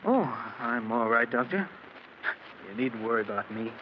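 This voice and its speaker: An actor playing a mild, henpecked bookkeeper husband with dark secrets, earnest and melodramatic in 1940s radio drama style. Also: male voice, medium pitch, expressive, normal speed, close to an everyday voice. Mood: grave, confessional. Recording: muffled old radio recording with faint noise.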